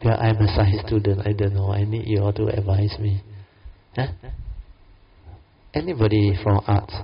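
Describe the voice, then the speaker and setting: A man's voice: lowish voice, fairly even intonation, husky. A man giving an informal dharma talk as a Buddhist teacher, often asking his listeners questions and ending lines with "huh?" and "no?". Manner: unhurried, asks the audience questions, tags lines with "huh?" and "no?". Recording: dull lo-fi recording.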